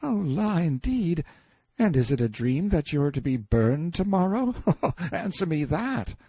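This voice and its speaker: Man voicing a high-pitched timid medieval page boy. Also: big pitch swings, unhurried, warm tone, husky, dry recording, full character voice. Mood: amused, teasing. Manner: archaic courtly speech, pleading and exclaiming. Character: timid, light-hearted medieval page boy, superstitious and easily frightened.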